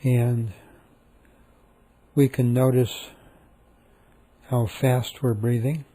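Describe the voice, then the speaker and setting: A man leading a guided meditation, speaking calmly and softly with long, repetitive pauses. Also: medium pitch, breathy edge.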